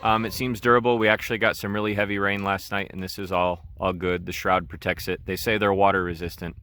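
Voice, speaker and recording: A man's voice: low voice, flat delivery, fast, bright, forceful tone, clean tone. A man hosting an informal product review, talking in a casual, conversational way.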